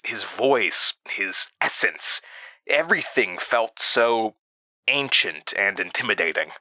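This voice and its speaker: A man narrating a first-person horror story in a deliberate, halting manner with dramatic pauses. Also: lowish voice, animated, sharp tone, no room sound, low-quality recording.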